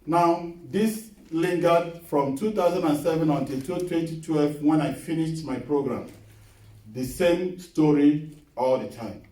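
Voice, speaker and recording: A middle-aged man preaching a sermon in a small church, earnest and measured, repeating his key phrases as he tells a personal testimony. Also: a little high, dark tone. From Nigeria. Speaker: guest preacher. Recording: echoey hall, voice picked up from a distance.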